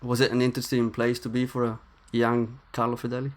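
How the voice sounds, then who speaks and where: A man's voice: medium pitch, monotone, fast talker. A man hosting a podcast, reading a scripted intro and then asking interview questions, at times stumbling over his words. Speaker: podcast host.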